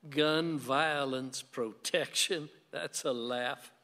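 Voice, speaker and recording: An actor playing a stubborn conservative husband in a radio play, full of gruff sarcasm and folksy defiance. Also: male voice, mid-range voice, slow, bright, forceful tone, big-room sound. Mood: mocking. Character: stubborn, sardonic conservative husband and gun owner.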